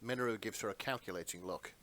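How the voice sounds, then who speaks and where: A man's voice: lowish voice, fast, a bit bright. A man reading scripted narration for a fantasy fan story in a dramatic storytelling style.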